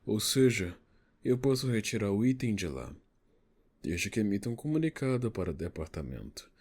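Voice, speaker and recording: A man's voice: on the low side, sing-song, normal speed, darkish tone, slightly rough, heavily acted. A man with a Brazilian accent acting a reluctant, formal older negotiator in an audio novel. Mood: resigned, explaining. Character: reluctant, cornered older male negotiator, exasperated.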